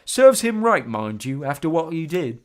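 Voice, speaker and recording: A reader voicing an older male bus driver who talks slowly and gruffly in few words. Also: medium pitch, big pitch swings, pitched above the performer's own voice, lightly acted.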